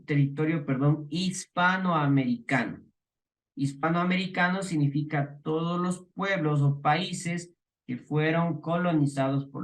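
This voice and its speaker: A middle-aged man from the Ecuadorian highlands with that accent, giving a class lecture with many fillers, restarts and rhetorical questions. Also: a bit bright. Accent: Ecuadorian highland Spanish. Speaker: teacher.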